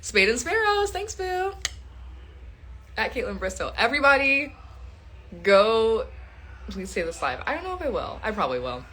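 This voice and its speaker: A woman's voice: fairly high pitch, sing-song, moderate pace, pauses often, sharp tone. A woman in her twenties hosting a casual live stream from home, chatty and laughing often as she reads out and answers viewer questions. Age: 20s. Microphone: phone.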